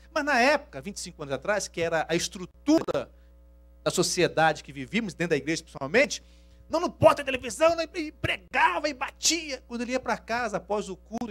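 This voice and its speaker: A man from Rio with a Minas Gerais accent, preaching a sermon, swinging from hushed asides to loud, drawn-out emphasis. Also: sits high. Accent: Minas Gerais Portuguese. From Rio de Janeiro, Brazil. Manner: shifts from hushed asides to loud, slow emphatic scripture reading. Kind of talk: sermon to a congregation.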